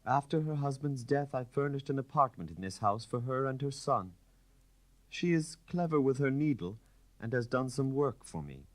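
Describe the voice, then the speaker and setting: A man's voice: medium pitch, expressive, normal speed, voice pushed up, natural voice. A man putting on a lifted-voice, poised countess. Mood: thoughtful, explaining. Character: poised aristocratic countess, a wife, candid and assured.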